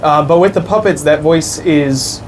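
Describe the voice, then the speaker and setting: A man's voice: on the high side, moderate pace. A young man who is a puppeteer and actor, answering in an easygoing, agreeable way. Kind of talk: casual interview. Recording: noisy recording.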